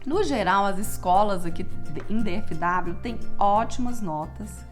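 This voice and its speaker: A woman's voice: mid-range voice, animated, a little slow, faint music behind. A young Brazilian woman with a Brazilian Portuguese accent, chatting casually in a Q&A vlog and tagging her sentences with little checks to the viewer. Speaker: vlogger living in Texas.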